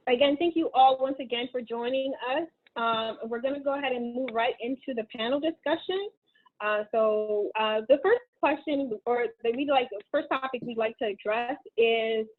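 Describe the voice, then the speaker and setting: A woman with a slightly high voice moderates a panel politely and methodically. The sound is dry and very narrow, like a phone line on a virtual call. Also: no room sound.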